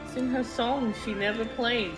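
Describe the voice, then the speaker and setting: A man chatting casually as a gaming partner on a stream, halting and repeating words as his thoughts form. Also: very high-pitched, fairly even intonation, music in the background. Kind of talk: casual chat about a game's story.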